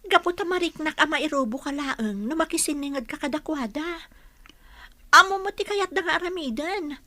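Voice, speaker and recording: A woman's voice: high voice, lively intonation, normal speed, sharp tone, no echo. An actress playing a hurt, earnest young female friend in a radio drama, plaintive and pleading. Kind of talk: Ilocano radio drama acting.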